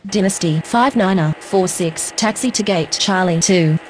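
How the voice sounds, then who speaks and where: A woman's voice: fairly low pitch, a little slow. A woman speaking short, scripted aviation radio phraseology in an air traffic control game.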